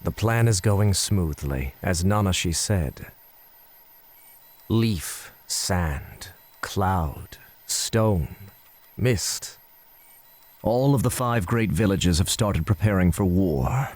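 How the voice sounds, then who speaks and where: A cold, scheming villain in an anime game, calm and ominous. His deep, husky voice is deliberate and slow, over faint music. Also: exaggerated voice. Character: cold, scheming male villain plotting war. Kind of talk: anime game cutscene dub acting.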